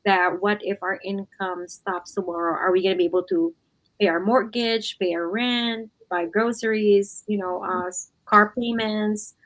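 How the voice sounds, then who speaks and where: A woman's voice: medium pitch, fairly even intonation, measured pace, poor audio. A woman co-hosting a casual livestream, talking chattily and often adding "you know" and "right". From Philippines. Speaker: livestream co-host.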